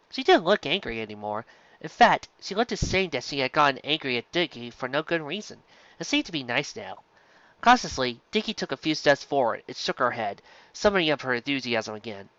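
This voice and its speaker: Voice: medium pitch, very animated, brisk, a bit bright, no room sound, highs cut off. A narrator reading a story aloud from a script. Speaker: audiobook reader of fan fiction.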